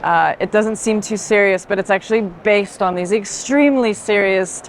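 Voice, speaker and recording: A woman's voice: mid-range voice, normal speed. A woman in an unscripted art discussion, explaining her thoughts in a reflective, hesitant way. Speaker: art curator in conversation. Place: indoor art fair hall. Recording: clear background noise of busy venue.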